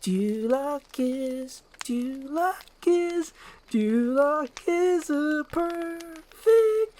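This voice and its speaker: Woman singing as high, chirpy wooden dolls. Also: animated, drawn-out words, mellow, a little hiss or hum, exaggerated voice. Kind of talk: slow sing-song welcome jingle.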